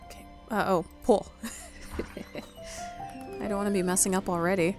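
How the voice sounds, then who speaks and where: A woman's voice: medium pitch, fairly even intonation, moderate pace. A woman hosting a gaming channel, giving casual let's-play commentary as she plays. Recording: faint music behind the voice.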